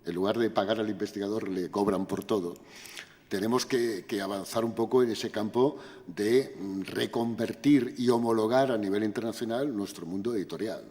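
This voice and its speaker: An older man, answering questions at an academic conference in a measured, lecturing way with frequent tag questions. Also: fairly low pitch, darkish tone, big-room sound. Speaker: invited speaker at academic conference. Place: university auditorium.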